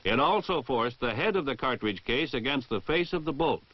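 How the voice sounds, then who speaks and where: A man's voice: medium pitch, expressive. American man narrating, dull vintage film sound. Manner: formal, precise, measured enunciation. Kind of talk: scripted instructional narration, 1960 military training film.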